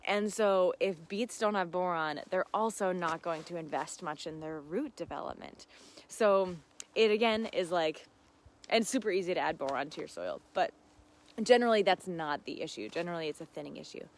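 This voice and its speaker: A woman's voice: on the low side, normal speed, slight background noise. Effusive, affirming woman in casual chat. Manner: effusive, affirming, giggly, conversational. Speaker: gardening host answering live viewer questions.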